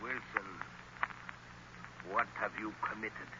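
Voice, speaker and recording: Sharp, menacing hypnotist professor. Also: male voice, fairly low pitch, steady tone, breathy edge, heavily acted. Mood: smug, challenging. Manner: commanding, deliberate, insistent. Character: menacing male professor, commanding hypnotist villain. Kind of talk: old-time radio drama acting. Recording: noisy, narrow-band vintage radio recording.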